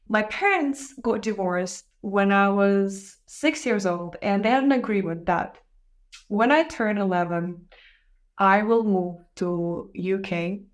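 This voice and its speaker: A young woman from Israel with a foreign-accented English, hosting her podcast and telling her life story in a candid, reflective way with soft laughs. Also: mid-range voice. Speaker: podcast host. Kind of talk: casual personal monologue to camera.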